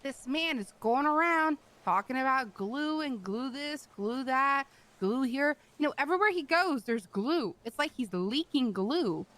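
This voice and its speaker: A woman's voice: high voice, lively intonation, unhurried, a bit bright. A woman improvising casual roleplay chat with emphatic, playful storytelling. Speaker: roleplay game player.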